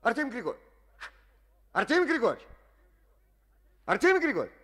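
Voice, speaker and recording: An actor playing a blustering, wounded party official who pleads and grumbles in an old radio drama. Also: male voice, very high-pitched, wildly swooping pitch, a little fast, sharp tone, exaggerated voice. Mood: pleading. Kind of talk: 1950s Soviet radio drama acting.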